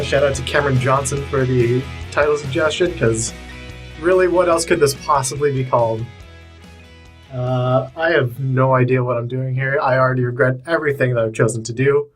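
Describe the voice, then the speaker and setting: A young man hosting a casual podcast, self-deprecating and halting, with a slight stammer, recorded in a small booth. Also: medium pitch. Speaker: podcast host.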